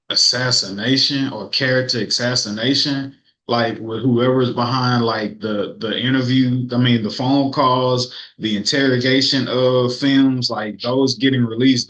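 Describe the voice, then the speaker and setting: A man speaking in a casual podcast discussion, relaxed and reflective as he argues his point of view. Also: medium pitch, measured pace, echoey room, rough recording. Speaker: podcast co-host.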